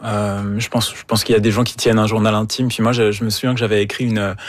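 Homme, écrivain en entretien, ton familier et hésitant, intonation plate. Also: male voice, fairly low pitch, little pitch movement, fast talker, no room sound. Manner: casual, hesitant, many fillers. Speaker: guest author. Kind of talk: interview answers.

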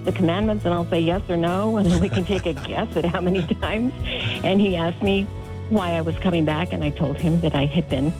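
A woman's voice: fairly low pitch, fairly quick, over music, low-quality recording. A woman calling in to a radio show, telling her story candidly and poking fun at herself. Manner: candid, self-deprecating, laughs at herself. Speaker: radio caller. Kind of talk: call-in testimony.

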